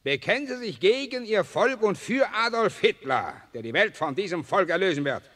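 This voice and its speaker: Ein Mann spielt in einem alten Hörspiel einen sadistischen, spöttischen SS-Offizier, der höhnt und Befehle bellt. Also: male voice, high voice, big pitch swings, moderate pace, fairly bright tone, exaggerated voice. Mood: sneering. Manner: sneering, taunting, barking orders. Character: sadistic mocking male SS officer. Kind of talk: 1960s German radio play acting. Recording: reverberant old mono radio play.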